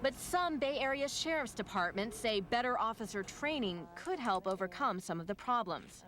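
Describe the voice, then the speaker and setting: A woman TV news reporter reading a scripted report in a measured, formal delivery. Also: mid-range voice, fairly bright tone.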